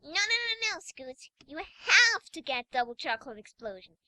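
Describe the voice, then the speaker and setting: A woman voicing a bossy, excitable little cartoon unicorn filly, insistent and gushing. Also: very high-pitched, moderate pace, dry recording, exaggerated voice. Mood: excited, insistent.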